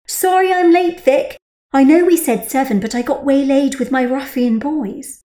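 A woman voicing an eager, fawning middle-aged mother who speaks high and emphatic. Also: moderate pace, dark tone, roomy sound, natural voice.

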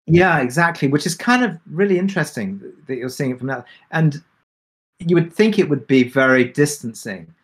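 A man answering questions on a discussion panel as a drama creator, speaking reflectively and haltingly with many pauses. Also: a little high, animated, breathy edge. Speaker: audio drama creator and producer.

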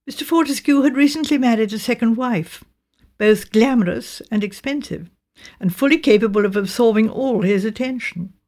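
A woman with a Received Pronunciation British accent, reading a mystery novel as scripted narration in a measured, precise way. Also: fairly high pitch, a little mellow. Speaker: audiobook narrator.

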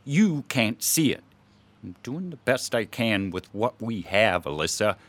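Actor as exasperated husband, voice swinging widely. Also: male voice, fairly low pitch, big pitch swings, measured pace, a bit bright. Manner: terse, irritated. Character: overworked, exasperated, defensive husband who grades papers. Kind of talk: radio drama acting.

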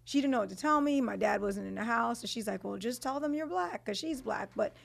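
A woman in her thirties with a thick Trinidadian Brooklyn accent. She has a strongly gravelly, fry-laden voice and tells her story in a lively, candid way. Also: on the high side, brisk. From Brooklyn, New York. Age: 30s. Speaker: guest. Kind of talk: casual chat.

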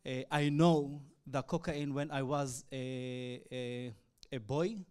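A man from Israel, a native Arabic speaker, telling his personal testimony in hesitant, halting English full of fillers. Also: medium pitch, flat delivery, darkish tone, smooth. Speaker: evangelist.

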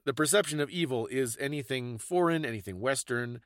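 Guy podcast host chatting and explaining stuff, lowish-normal voice, dry close sound. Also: a little fast, no room sound. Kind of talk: casual explanatory podcast conversation.

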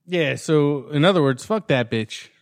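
A man's voice: on the high side, expressive, unhurried, no room sound. Blunt, foul-mouthed man chatting, dry sound. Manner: blunt, profane. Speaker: podcast co-host. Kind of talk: casual comedic chat, paraphrasing news.

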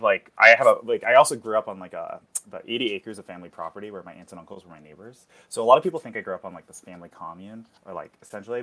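A man's voice: fairly low pitch, a bit bright. Chatty young Canadian man. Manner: chatty, many "like" fillers, laughs often. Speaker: podcast guest. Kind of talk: casual chat.

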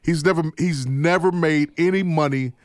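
A man's voice: a little high, normal speed, no room sound, studio quality. Playful man hosting a podcast. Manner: asks the others for picks, playful. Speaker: podcast host. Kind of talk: casual podcast chat.

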